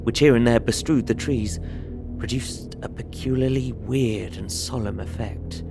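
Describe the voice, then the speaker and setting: An actor playing an observant young gentleman traveller, narrating his journal in measured, literary audio drama style. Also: medium pitch, steady tone, gravelly, music in the background. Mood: uneasy, descriptive. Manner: measured, descriptive storytelling.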